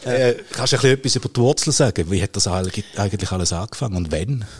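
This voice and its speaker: Guy with an eastern swiss german accent doing a podcast interview, voice on the low side. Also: expressive, racing, breathy edge. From Switzerland. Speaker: podcast host, journalist.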